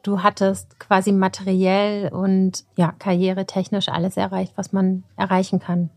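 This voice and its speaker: A woman's voice: mid-range voice, completely flat delivery, normal speed, gravelly, mellow. A woman in her forties hosting an interview, asking reflective, thoughtful questions. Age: 40s. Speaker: podcast host, interviewer. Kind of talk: conversational interview.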